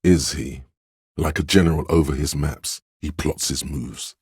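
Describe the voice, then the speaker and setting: An actor playing a stern, commanding inner voice of authority in a video game, speaking in a grave, imperious, theatrical way. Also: male voice, very deep voice, steady tone, measured pace, darkish tone, husky, no echo, clean studio sound, heavily acted. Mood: ominous. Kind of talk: dramatic scripted game narration.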